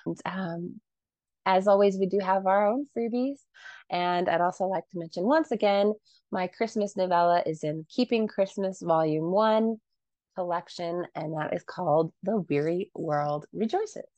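A woman's voice: lowish voice, normal speed, a little mellow, dry recording. Woman co-host on a dull, dry mic. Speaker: podcast co-host. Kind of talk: podcast hosting and sign-off.

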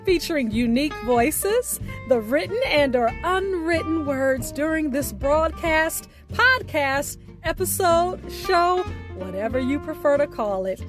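A woman hosting a radio show, giving a scripted, welcoming introduction in a deliberate announcer's delivery. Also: sits high, expressive. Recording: voice over prominent music bed.

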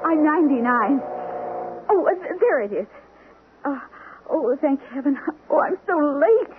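A woman's voice: sits high, big pitch swings, a little slow. An actress playing an anxious, evasive lost woman in an old-time radio drama, flustered and pleading, with stammers and little exclamations. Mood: evasive, urgent. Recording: tinny, noisy old radio broadcast recording.

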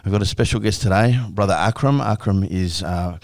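A man's voice: low voice, brisk, darkish tone, no room sound. A man hosting a casual talk interview, speaking in a familiar way with Arabic religious phrases mixed in. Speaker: talk show host.

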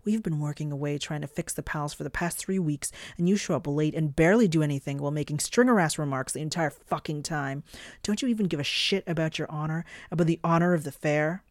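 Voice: medium pitch, fast, no room sound, studio quality, lightly acted. A narrator voicing a furious, foul-mouthed female fairy warrior in an audiobook, hissing and roaring. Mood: furious ranting.

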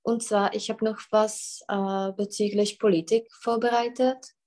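A woman teaching a language on a call, patient and encouraging. She keeps prompting and gently correcting, with frequent short acknowledgments. Her voice sits at an ordinary mid pitch. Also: level tone, a little slow. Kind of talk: online language lesson.

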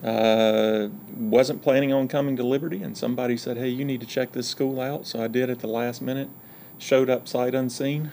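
Gravelly-voiced Southern man from Georgia. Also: medium pitch, steady tone, moderate pace, darkish tone, slight background noise. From Georgia, USA. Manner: folksy, joking, frank. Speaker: university provost, podcast guest. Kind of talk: casual interview chat.

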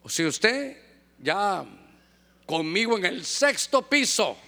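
High-voiced male preacher, lively and joking, acts out little scenes. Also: slow. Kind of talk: sermon.

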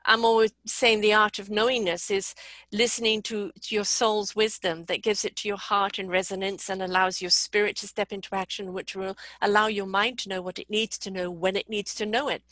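A woman's voice: mid-range voice, level tone, fairly quick, pushed and bright, no room sound. A woman hosting a spiritual conversation, talking earnestly in long flowing thoughts and laughing often.

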